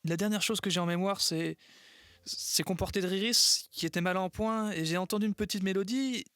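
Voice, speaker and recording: A man playing a confused, hesitant adventurer in an audio drama, stammering and halting as he talks. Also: high voice, fairly bright tone, clear, resonant tone, dry recording. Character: dazed, hesitant male adventurer with amnesia. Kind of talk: French audio drama dialogue. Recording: faint background noise.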